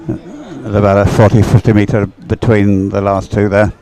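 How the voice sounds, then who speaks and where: A man giving live, unscripted sports commentary in a halting way, thinking aloud as he watches. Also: lowish voice, dark tone, dry recording, rough recording.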